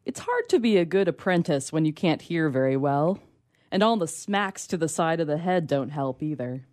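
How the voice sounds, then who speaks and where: A woman's voice: low-pitched, sing-song, moderate pace, a little mellow, no room sound, studio quality. A woman reading comic fiction as a hard-of-hearing, grumbling young apprentice to a mad scientist, with wry storytelling. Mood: wry complaint.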